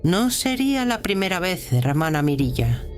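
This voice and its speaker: A woman playing a stern senior prioress of a militant church in an audio drama, speaking in a measured, formal, authoritative way. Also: on the low side, big pitch swings, music in the background. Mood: cold, composed.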